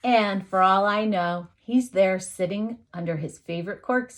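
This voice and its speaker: A woman teacher reading a picture book aloud to young children, expressively, with dramatic emphasis, pauses and asides to her listeners. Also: mid-range voice, a little hiss or hum.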